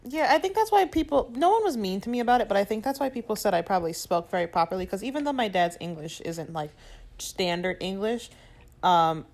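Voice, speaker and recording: A young woman co-hosting a podcast, telling stories in a casual, animated way and laughing often. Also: mid-range voice, brisk. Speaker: podcast co-host. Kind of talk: casual chat.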